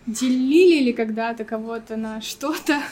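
A woman's voice: fairly high pitch, normal speed, a crowd in the background. A woman hosting an interview, asking informal questions in a friendly way. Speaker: interview host.

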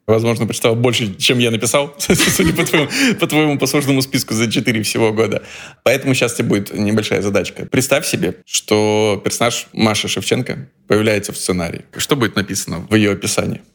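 Low-voiced man hosting a podcast. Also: fast, vocal fry. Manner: relaxed, posing questions to the guest. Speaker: podcast co-host, screenwriter. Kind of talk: casual podcast chat.

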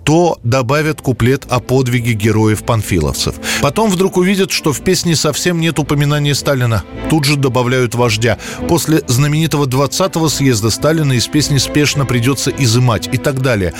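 A man's voice: medium pitch, a little fast, hardly any pauses, faint music behind. A man hosting a programme, reading a scripted narration about history in a studio. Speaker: radio programme host.

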